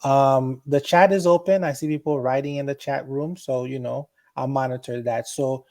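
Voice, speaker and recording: A man with a mellow, warm voice hosts a casual call-in show. He talks in a relaxed, friendly way, giving shout-outs and switching between English and Haitian Creole. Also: mid-range voice, normal speed. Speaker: host. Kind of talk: casual online show hosting.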